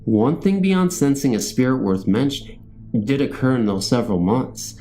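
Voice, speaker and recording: A man's voice: mid-range voice, very animated, normal speed, warm tone. A man reading a scripted first-person ghost story as a narrator. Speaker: narrator of true ghost stories.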